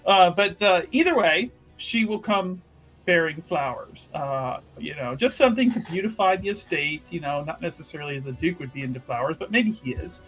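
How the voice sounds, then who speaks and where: Higher-pitched man, rambling and chatty. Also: lively intonation, moderate pace, fairly bright tone, soft background music, low-quality recording. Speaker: tabletop game player. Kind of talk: casual improvised roleplay talk.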